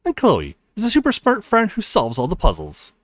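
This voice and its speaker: A man voicing an excitable young college guy who fancies himself an anime hero, in a theatrical, boyish manner for a visual novel. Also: high-pitched, moderate pace, dry recording, clean studio sound, voice pushed up, heavily acted. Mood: excited, boastful.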